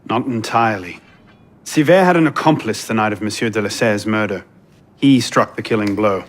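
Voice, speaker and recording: An actor playing a defiant, dry and determined young assassin in a video game cutscene. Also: male voice, lowish voice, normal speed, close to an everyday voice.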